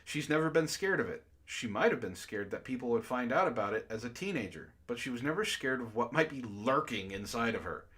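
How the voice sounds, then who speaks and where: A man's voice: mid-range voice, expressive, fairly quick, few pauses, fairly bright tone. A man hosting a book club stream, reading aloud and breaking into exasperated, incredulous asides in casual jokey banter.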